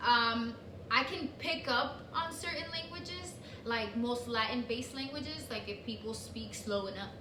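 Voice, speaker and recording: A woman whose first language is Spanish chats casually as a co-host, full of fillers and restarts. She sits back from the mic in an echoey room. A steady hiss of noise runs under her voice. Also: fairly high pitch, measured pace, distant mic. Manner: chatty, lots of fillers and restarts. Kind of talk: casual chat.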